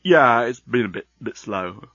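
A man acts out a shifty male workman in a comic storybook. He is hesitant and colloquial, stumbling over words with jumpy pitch, on dry, slightly dull audio. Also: animated, unhurried, a bit bright, no echo. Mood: nervous, evasive. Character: shifty male ship worker, nervous and evasive.

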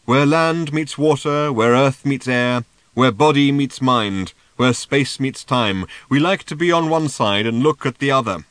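A man voicing a cryptic, mournful old male seer who delivers slow, drawn-out, sing-song pronouncements. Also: medium pitch, a bit bright, no echo, natural voice.

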